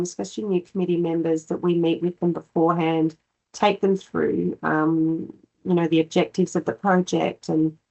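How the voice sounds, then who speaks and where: A woman with a cold giving a measured webinar presentation, pausing often on fillers. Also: fairly low pitch, darkish tone, rough recording. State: has a cold.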